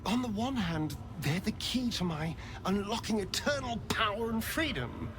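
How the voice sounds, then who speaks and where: An English actor playing a proud, wounded, sardonic vampire spawn in a video game, theatrical and drawling. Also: male voice, high-pitched, animated, moderate pace, background noise. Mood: weary, conflicted. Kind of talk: dramatic video game acting.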